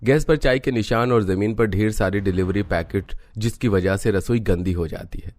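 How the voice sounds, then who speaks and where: A man narrating a cartoon story in a steady, scripted storytelling manner. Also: fairly low pitch, moderate pace, no room sound.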